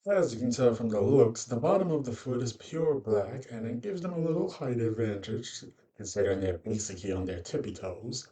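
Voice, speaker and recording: A man narrating an informal fantasy creature guide in halting, loosely phrased explanations. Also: mid-range voice, dark tone, distant mic.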